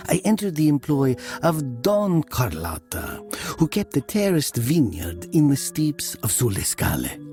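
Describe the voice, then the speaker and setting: Slow, brooding storyteller with swooping pitch. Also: male voice, wildly swooping pitch, faint music behind, full character voice. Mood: measured, somber. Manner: slow, deliberate, dropping into hushed breathy tones. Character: brooding adult narrator recalling his Italian mountain youth. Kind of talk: dramatic first-person story narration.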